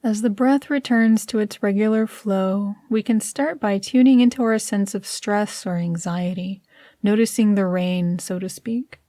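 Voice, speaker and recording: A woman narrates a guided meditation at an average pitch. She is measured and soothing, giving gentle instructions as if reading from a prepared script. The sound is dry, with no room echo.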